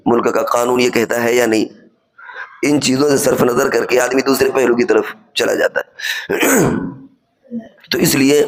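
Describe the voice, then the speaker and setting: Male cleric, medium pitch, instructive Friday sermon delivery, reasoned and explanatory. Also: poor audio. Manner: didactic, reasoning step by step with examples and rhetorical questions. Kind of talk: sermon, explanatory religious lecture.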